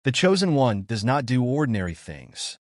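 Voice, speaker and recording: A man's voice: mid-range voice, big pitch swings, moderate pace, no room sound, studio quality, close to an everyday voice. A man voicing a composed, weary young mage from a manga in a restrained, brooding manner.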